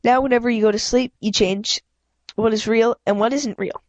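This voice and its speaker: A woman voicing a soft-spoken, calmly firm female reality police officer in a storybook, gentle and explanatory. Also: fairly high pitch, moderate pace, no room sound, lo-fi sound, natural voice. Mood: calm, explaining.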